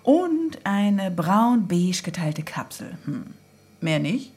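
An actress playing a sharp-witted woman in her thirties in naturalistic radio drama, teasing her partner with playful deadpan. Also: on the low side, slow, heavily acted. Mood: playful, mocking. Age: 30s.

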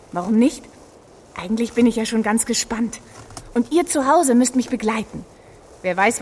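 An actress plays a plucky woman reporter who hosts adventures in a children's radio play. Her voice sits high, and she talks in a lively, chatty, theatrical way. There is a faint ambient noise behind her. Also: natural voice. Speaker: host of a children's audio series.

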